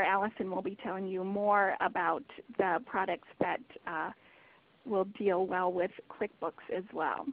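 A woman hosts a webinar in an upbeat, enthusiastic way. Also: medium pitch. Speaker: webinar host. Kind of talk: hosting a webinar. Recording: tinny phone line.

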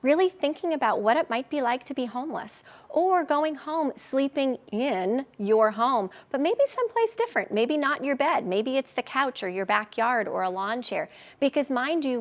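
A woman's voice: high-pitched, lively intonation, normal speed. Upbeat woman guest on a TV news set. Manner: upbeat, eager, promotional. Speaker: charity spokesperson, studio guest. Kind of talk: live TV studio interview. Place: TV news studio. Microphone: lapel mic.

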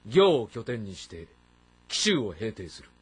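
An actor playing a commanding, shrewd warlord of ancient China in a dramatic game, with a terse, authoritative manner. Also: male voice, fairly low pitch, very animated, a little slow, slightly rough, full character voice. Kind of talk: dramatic audio-novel game acting.

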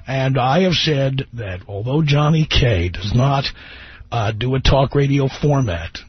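A man's voice: medium pitch, lively intonation, unhurried, breathy edge, no echo. A middle-aged man hosting a live radio talk show, brash and joking, teasing his own studio staff. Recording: dry, dull radio broadcast.

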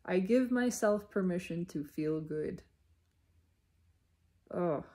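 A woman giving an unscripted, reflective spiritual monologue in deliberate, halting phrases with emphatic repetition. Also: lowish voice, animated, dark tone. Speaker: speaker sharing personal spiritual reflections.

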